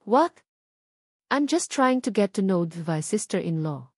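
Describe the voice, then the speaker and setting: A woman voicing a smug, mocking older brother in an audio drama, drawling her lines slowly and teasingly, recorded dry. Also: mid-range voice, very animated, darkish tone, breathy edge, no echo.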